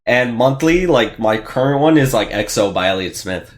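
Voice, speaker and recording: A young man speaks as the host of a casual question-and-answer session. His delivery is informal and slangy, a little hesitant as he works out each answer, at an ordinary middle pitch. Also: lively intonation, moderate pace. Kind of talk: casual chat.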